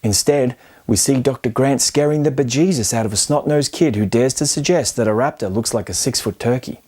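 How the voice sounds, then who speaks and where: Man narrating film analysis, softened mellow tone. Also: mid-range voice, fairly quick, breathy edge. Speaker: film commentary host. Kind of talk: scripted video-essay narration.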